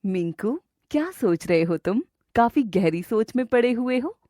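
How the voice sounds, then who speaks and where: A woman voicing a sly fairy in an animated moral story, coaxing and composed. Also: a little high, normal speed, no echo, clean studio sound, close to an everyday voice. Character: sly fairy sweetly luring forest animals.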